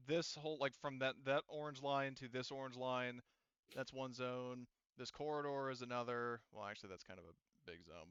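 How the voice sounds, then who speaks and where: A man runs an online tabletop game as its game master, working things out aloud step by step. His voice is bright and resonant, picked up dry on a close mic with slightly muffled chat audio. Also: mid-range voice, clear, resonant tone, no room sound.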